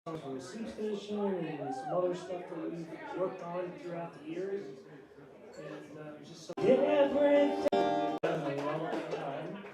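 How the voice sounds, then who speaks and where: A man's voice: high voice, expressive, a little slow, heavy reverb, recorded from a distance, loud music behind the voice, rough recording. A man, a musician, giving casual stage banter in a small live venue. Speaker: musician addressing audience.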